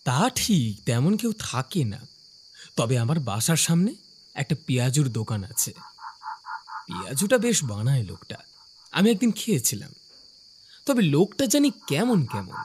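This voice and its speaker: A man's voice: medium pitch, very animated, moderate pace, pauses often, darkish tone, slight background noise, lightly acted. A man voicing a curious young office worker who narrates his own ghost story in a cartoon, with acted dialogue. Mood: chatty. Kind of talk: scripted first-person narration with acted dialogue.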